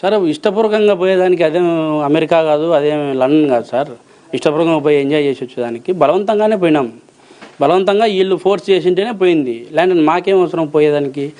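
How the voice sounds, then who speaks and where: A man's voice: a little high, fast, darkish tone. A man with a Rayalaseema Telugu accent answering interview questions in a colloquial, emphatic and insistent way, repeating phrases and posing rhetorical questions. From Rayalaseema, Andhra Pradesh, India. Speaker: interview guest, approver witness in a criminal case.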